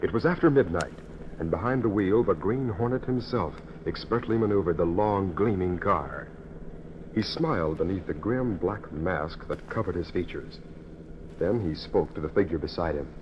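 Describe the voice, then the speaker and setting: A man's voice: sits low, normal speed. A man announcing and narrating an old-time radio drama in an emphatic, theatrical scripted style, on a muffled, noisy recording.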